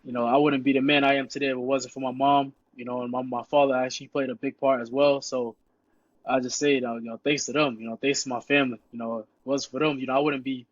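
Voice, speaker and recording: A man's voice: medium pitch, quick pace, dry recording. Laid-back young New man, dull dry sound. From Queens, New York. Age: young adult. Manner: relaxed, repeats "you know" constantly. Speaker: podcast guest, high school football player. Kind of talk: casual interview chat.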